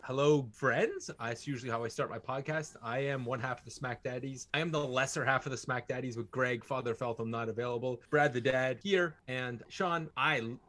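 A man's voice: medium pitch, a bit bright. A man with an East Coast Canadian accent, chatting as a podcast guest in a friendly, upbeat way. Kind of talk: casual chat.

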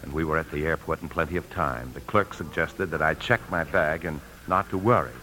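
Deep-voiced nervous American husband. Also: male voice, a little fast, pushed and bright, a little hiss or hum. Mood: tense narrating. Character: nervous American bank employee husband hiding a secret. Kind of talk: 1950s American radio drama acting and narration. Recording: old radio broadcast with faint music.

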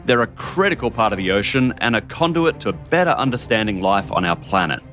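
A man's voice: on the low side, big pitch swings, fairly quick, a bit bright, soft background music. A man hosting a podcast as a marine biologist, reading an upbeat intro and then explaining at length.

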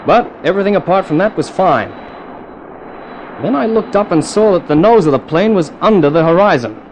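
Cool, wry 1950s radio test pilot. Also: male voice, high-pitched, animated, fairly quick, slight background noise, rough recording. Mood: tense, wry narration. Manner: dramatic, clipped, wry storytelling. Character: cool, wry male jet test pilot narrating his adventure. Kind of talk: 1950s radio thriller narration and acting. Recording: old radio drama with music underneath.

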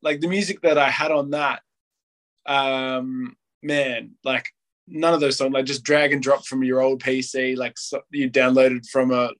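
A man talks in a relaxed podcast chat. His voice sits at an ordinary middle pitch. He rambles, stacks up "like", and slips into acted-out quotes. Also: measured pace. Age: late 20s. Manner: rambling, heavy use of "like", acts out quotes.

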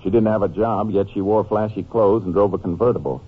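An actor playing a steady, matter-of-fact Texas Ranger investigating a case in an old-time radio crime drama. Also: male voice, on the low side, brisk, husky. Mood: businesslike. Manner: matter-of-fact, clipped, polite. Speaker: lead detective and case narrator. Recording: muffled, noisy vintage radio recording.

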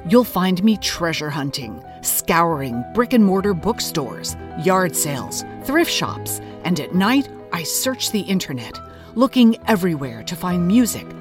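An earnest arts investigator narrating an audio drama. She speaks in a measured, articulate, dramatic way with lively swings of pitch, and music plays prominently behind her. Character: B.K. Will, earnest intense investigative cultural obscurist narrating her search. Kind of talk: scripted podcast narration.

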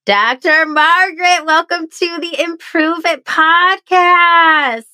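A woman's voice: extremely high pitch, slow pace, sharp tone, no room sound. Nasal, congested woman podcast host. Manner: upbeat, enthusiastic. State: congested from recent flu. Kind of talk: podcast interview.

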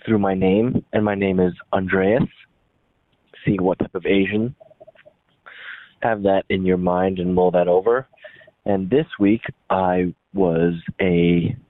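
Deep male caller, dry close sound, tinny phone-line recording, jokey delivery. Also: no room sound. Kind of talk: casual recorded message.

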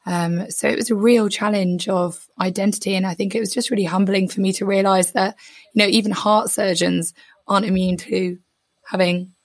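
A hoarse woman, a psychotherapist, speaking reflectively and candidly as a podcast guest. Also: medium pitch, steady tone, normal speed, no echo. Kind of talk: casual interview.

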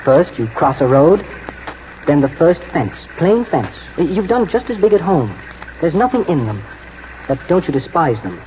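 A man playing an earnest, protective young horse trainer in a 1940s radio drama, urgent and halting as he instructs. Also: fairly high pitch, sing-song, dark tone. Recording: muffled, noisy old 1940s radio broadcast.

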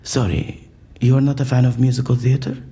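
An actor playing an eccentric, unflappable surgeon in an audio drama, with a formal, theatrical and dryly dismissive manner. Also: male voice, mid-range voice, normal speed, creaky, mellow, natural voice. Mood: stubborn, dismissive.

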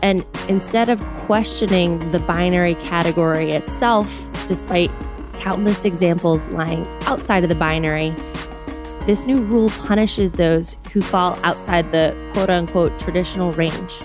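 A woman's voice: lowish voice, music in the background. A woman narrating a video news report in a scripted, earnest, explanatory way. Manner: earnest, measured explanatory delivery.

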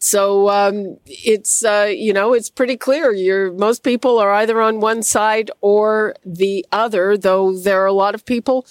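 A woman hosting a radio call-in show, measured and hesitant, with frequent fillers. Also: mid-range voice, no room sound. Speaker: radio talk show host.

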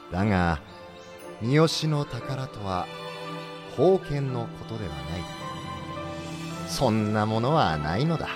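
Solemn aged warlord, deep-leaning, very deliberate archaic delivery, music underneath, period radio drama. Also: male voice. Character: solemn old warlord, voice of a testament letter. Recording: loud background music.

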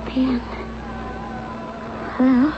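An actress playing a fragile, confused wife recovering from shock, halting and wistful, in a radio drama. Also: female voice, high voice, little pitch movement. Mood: dazed, wistful. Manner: halting, vague, hesitant speech. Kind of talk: 1970s radio drama acting. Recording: dull, noisy old radio broadcast recording.

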